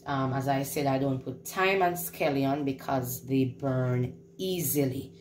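A woman's voice: very deep voice, measured pace, darkish tone, echoey room. A woman hosting a casual home cooking demonstration, instructing and repeating phrases for emphasis. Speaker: home cook hosting a recipe video. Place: indoors in a home kitchen. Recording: clearly noisy home recording.

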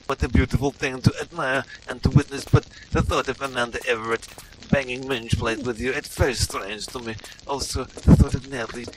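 A man voicing a prim, bewildered male game streamer who stammers in halting broken English. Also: low voice, very noisy, close to an everyday voice. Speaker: gameplay host. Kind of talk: improvised comedy gameplay commentary.

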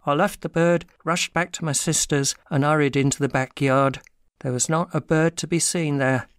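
A man's voice: fairly high pitch, steady tone, normal speed, clear, resonant tone, no echo, clean studio sound, lightly acted. An actor playing an anguished working man confessing to a theft in a dramatic audiobook, telling his story with self-pity. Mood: anxious recounting.

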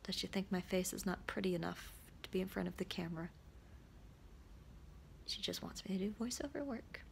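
Young woman rambling to her vlog, lowish voice with lots of fry, bit of background noise. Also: expressive, fairly quick. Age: young adult. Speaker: vlogger. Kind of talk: casual, chatty vlog to camera. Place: small room indoors. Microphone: phone or camera at arm's length.

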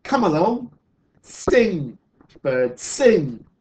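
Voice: medium pitch, very animated, takes it slowly, a little mellow, a little hiss or hum, pitched above the performer's own voice, poor audio. A storyteller voicing a confident, imaginative young girl in a storybook read aloud. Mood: coaxing, confident.

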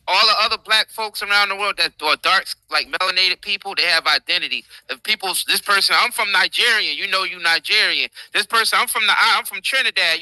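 A man's voice: sits high, sharp tone, no echo, poor audio. An American man hosting an impassioned livestream commentary in emphatic, repetitive, informal slang, close on the mic. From United States. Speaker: show host. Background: faint background music.

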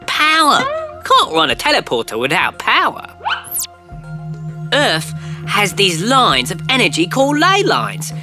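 A woman voicing a friendly, hurried green alien in a children's cartoon, quick and excitable. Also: a little high, over music, full character voice. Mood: explaining. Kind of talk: cartoon voice acting.

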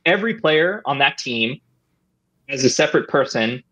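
A man in his thirties, an interview guest, telling old stories in a relaxed, rambling way, on a boom mic at home. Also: moderate pace, breathy edge. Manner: relaxed, rambling with many "I mean" and "right?". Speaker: interview guest, former esports player. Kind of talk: casual storytelling. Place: home room, indoors. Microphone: studio mic on boom arm, headset.

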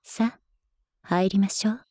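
A woman voicing a cool, devoted young female senior student in an ASMR voice drama, deadpan and soft-spoken into a close binaural mic. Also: medium pitch, noisy background, close to an everyday voice. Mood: calm, protective. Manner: deadpan, soft-spoken, unhurried, occasionally whispery. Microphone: close binaural microphone.

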